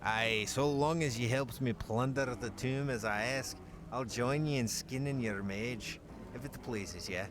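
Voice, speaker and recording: A man voicing a gruff, bloodthirsty male dwarf warrior in a put-on Scottish accent, telling tales in an archaic brogue for a game. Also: medium pitch, noisy background, natural voice. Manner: slow, boastful storytelling. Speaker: player reading the dialogue.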